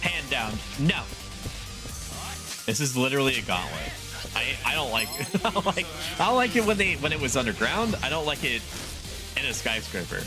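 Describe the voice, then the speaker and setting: A chatty male streamer talking at an ordinary pitch while a game's music runs clearly underneath. He rambles from one thought to the next, loose and offhand, and breaks into laughs often. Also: very animated, moderate pace. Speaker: VTuber streamer. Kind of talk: casual live-stream gameplay chat. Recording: game music playing under the voice. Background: game music.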